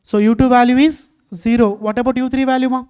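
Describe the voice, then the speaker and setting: Mellow-voiced woman teaching. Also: fairly high pitch, fairly even intonation, fast, clear, resonant tone. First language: Telugu. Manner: keeps quizzing students, calling them "ma". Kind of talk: online exam-prep lecture.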